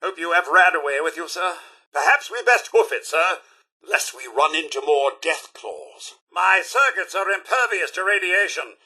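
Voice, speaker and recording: Prim, high-voiced British robot butler. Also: male voice, lively intonation, moderate pace, pushed and bright, husky, full character voice. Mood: prim, wry. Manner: formal, deferential, theatrical butler speech. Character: fussy, prim, loyal male robot butler, drily sarcastic. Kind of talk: scripted video game voice lines.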